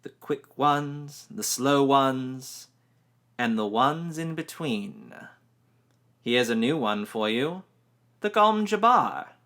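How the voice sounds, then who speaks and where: A man voicing an ancient, stern woman who tests a boy with slow, commanding menace. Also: medium pitch, animated, bright, forceful tone, pitched above the performer's own voice.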